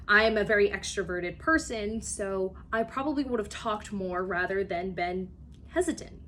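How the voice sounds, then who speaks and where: A woman's voice: medium pitch, expressive, moderate pace, a bit bright, slight background noise. A young woman student giving an earnest personal speech for a class, telling a story with occasional fillers. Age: young adult.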